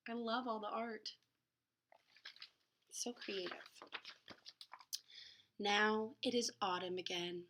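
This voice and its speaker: A woman's voice: mid-range voice, steady tone, takes it slowly, pauses often, noisy background. A woman reading a picture book aloud to children expressively, with dramatic emphasis and casual, chatty asides. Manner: dramatic emphasis, gasps and exclamations.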